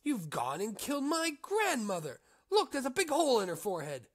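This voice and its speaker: A man voicing a sly young peasant who talks quickly. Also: a little high, very animated. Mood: outraged.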